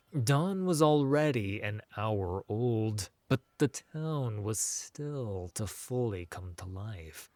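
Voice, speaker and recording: Slow, low-voiced man narrating fantasy. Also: a little mellow. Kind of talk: scripted fantasy audiobook narration.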